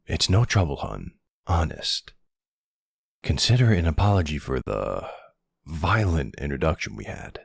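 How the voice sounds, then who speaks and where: A man playing a charming middle-aged mafia boss, suave and flirtatious, with a gravelly, husky voice, in an intimate ASMR roleplay. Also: rumbling low, no room sound, studio quality, full character voice. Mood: courteous, commanding. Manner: suave, courteous, teasing, uses pet names.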